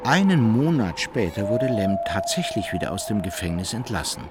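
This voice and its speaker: An actor as the wry, literary narrator of a German radio drama, telling of a hapless hero. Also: male voice, lowish voice, big pitch swings, moderate pace, heavy background noise.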